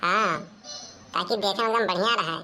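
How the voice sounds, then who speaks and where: A woman's voice: mid-range voice, moderate pace, bright, forceful tone, a little hiss or hum, lightly acted. A woman playing a brash, playful village woman with an Awadhi accent in a comic cartoon, chatty and insistent.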